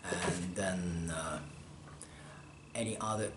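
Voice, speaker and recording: Slow, halting man in an echoey room. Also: deep voice, steady tone. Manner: halting, pausing often to find words. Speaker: scholar interviewed about manuscript studies. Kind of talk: interview answers. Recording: roomy echo, faint background hum.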